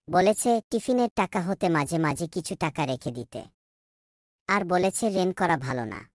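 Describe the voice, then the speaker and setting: A woman as an earnest, low-pitched little schoolboy. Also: a little mellow, no room sound, studio quality. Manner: eager, questioning, precocious. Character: earnest, curious young schoolboy son. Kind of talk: cartoon voice acting.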